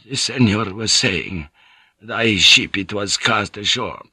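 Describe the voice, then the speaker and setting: Brief: male narrator voicing a feverish old friar, breathless ranting, bright tense tone, slow, dry close sound. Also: fairly low pitch, slightly rough, dry recording, heavily acted. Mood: earnest.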